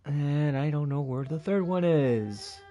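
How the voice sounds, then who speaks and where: A man's voice: fairly high pitch, expressive, a little slow, dark tone, dull audio. A tired man giving casual livestream gameplay commentary, slangy, with mild swearing and muttered asides. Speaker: game streamer. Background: game music.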